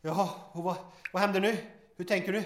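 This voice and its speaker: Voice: mid-range voice, steady tone, fairly bright tone, close to an everyday voice. An actor playing a squeamish, complaining sidekick with gallows humour in an audio drama, wry and hesitant, in an echoey room. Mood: grumbling, wry.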